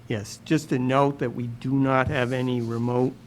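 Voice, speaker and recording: A man chairing a town commission meeting, speaking slowly with many pauses and fillers. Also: mid-range voice, level tone, a little mellow, slight background noise. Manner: slow, hesitant with many fillers. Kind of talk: public meeting.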